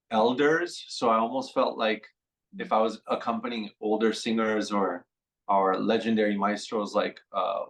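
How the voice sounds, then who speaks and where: A man in his forties with a low-pitched voice speaks as a radio interview guest. He talks in a reflective, meandering way, pausing often with fillers as he gathers his thoughts. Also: poor audio. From San Francisco Bay Area. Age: 40s.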